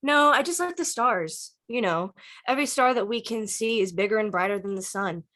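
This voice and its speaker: A woman's voice: a little high, animated, moderate pace, pushed and bright. A woman acts out a teenage dreamer in a film drama read-through. The delivery is dry and wry, drifting between teasing banter and earnest musing. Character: Dante, a dry, wry, star-loving teenager. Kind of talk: table read of a film script.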